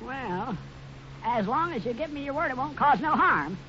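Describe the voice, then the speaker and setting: A naive, bumbling American cowboy sidekick, very high and swooping, with a folksy drawl. He rambles good-naturedly in 1950s radio serial style, on a muffled, noisy old recording. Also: expressive, moderate pace, pushed and bright, exaggerated voice. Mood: meek, doubtful.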